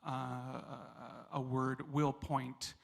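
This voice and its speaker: A singer with a classical and opera background, giving thoughtful, measured answers in an interview. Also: male voice, mid-range voice, little pitch movement, creaky. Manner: reflective, measured.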